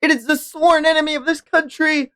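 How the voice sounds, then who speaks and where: Cold manga zealot as put on by a streamer: high, declamatory, menacing, full of disdain. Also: fairly even intonation, brisk, sharp tone. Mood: fervent, alarmed. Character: menacing prophecy-crying accuser, cold and zealous.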